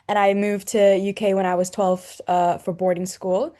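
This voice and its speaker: Young woman from hong kong, earnest, says "you know" a lot, middle pitch. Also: little pitch movement, normal speed, dark tone. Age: young adult. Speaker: student. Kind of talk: personal testimony.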